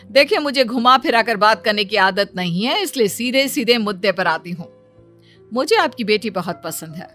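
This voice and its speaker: A woman's voice: mid-range voice, lively intonation, normal speed, fairly bright tone, faint music behind, lightly acted. A woman voicing a stern, domineering rich middle-aged mother in a cartoon story, in a scolding, bossy manner. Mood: imperious.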